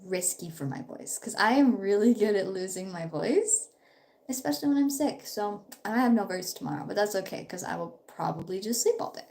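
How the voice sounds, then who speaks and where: A woman's voice: medium pitch, animated, fairly quick, gravelly, a little mellow. A young woman in her twenties, a bit sick, chatting upbeat and rambling during a casual live stream at home while doing her makeup. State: slightly sick. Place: home, her parents' house.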